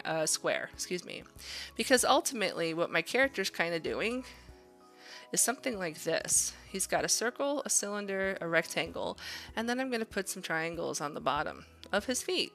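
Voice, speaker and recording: A woman with a fairly low, bright voice narrates a drawing demo as an instructor. She talks casually and encouragingly, thinking aloud as she works, with a little creak in her tone. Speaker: art instructor.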